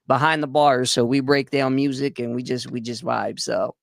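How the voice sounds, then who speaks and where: A man's voice: mid-range voice, steady tone, normal speed, creaky, no room sound, studio quality. A man hosting a casual livestream talk, laid-back, laughing often and reading out chat comments. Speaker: livestream host.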